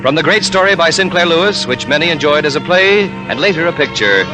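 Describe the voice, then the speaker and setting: Lively, dramatic male radio announcer. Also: a little high, quick pace, music in the background, rough recording. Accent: American. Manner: solemn, dramatic. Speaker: announcer and narrator. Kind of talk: 1940s radio scripted narration.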